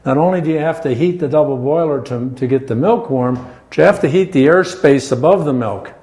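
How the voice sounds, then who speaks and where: An older American man explaining his work informally and step by step, in a halting manner. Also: fairly high pitch, a little mellow. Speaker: farm owner and cheese maker. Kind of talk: informal explanation while demonstrating. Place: indoors in a small farm creamery. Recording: clear background noise, working creamery room.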